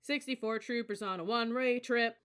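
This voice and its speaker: A reader putting on a loud sing-song cadet drill leader. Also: mid-range voice, fairly even intonation, brisk, clean tone, voice pushed up, close to an everyday voice. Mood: sing-song chanting. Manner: chanting running cadences and barking orders. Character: young male cadet drill leader, loud, commanding, cocky.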